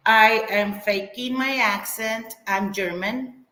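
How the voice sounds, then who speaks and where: A woman chats casually as a panel member, speaking slowly at an ordinary pitch. Her delivery has a sarcastic edge, and the room around her is noticeably echoey. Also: sharp tone. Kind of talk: casual chat.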